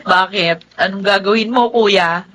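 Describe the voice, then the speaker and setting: A woman's voice: medium pitch, unhurried, fairly bright tone, close to an everyday voice. A woman playing an amused, sensible, successful lawyer aunt in a Filipino radio drama, light and teasing.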